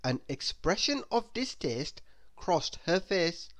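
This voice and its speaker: Expressive, very animated woman storyteller. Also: lowish voice. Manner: expressive, deliberate. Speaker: storybook reader. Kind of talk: children's read-aloud narration.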